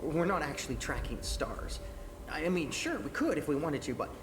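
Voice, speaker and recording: A woman's voice: low-pitched, animated. An actress voicing a nervous young female lead engineer in a video game, stammering and rambling as she explains. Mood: explaining. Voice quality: stammering, flustered, fast rambling. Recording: game audio with echo and music.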